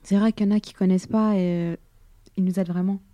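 A young woman hosting a local radio show, relaxed and colloquial as she asks questions. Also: medium pitch, fast, no room sound. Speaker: radio host. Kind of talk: casual radio interview.

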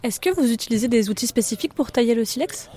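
Fairly high-voiced woman reporter, clear narration over music. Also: expressive, fast talker, mellow. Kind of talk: interview questions and voice-over narration.

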